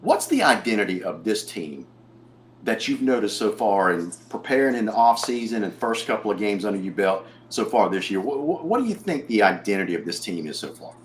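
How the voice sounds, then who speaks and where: A man's voice: fairly low pitch, very animated, fairly quick. A man hosting a local sports talk show, asking long, hesitant questions full of fillers. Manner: rambling, hesitant questions full of "uh" and "you know". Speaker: show host. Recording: echoey room with faint noise.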